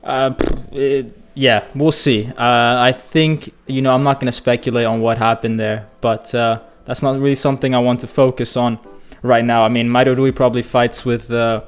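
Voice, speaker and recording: A man hosting a live football fan stream, chatty and excitable, hoarse and losing his voice as he reads viewer comments. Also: medium pitch, moderate pace, few pauses, soft background music. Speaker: football channel host.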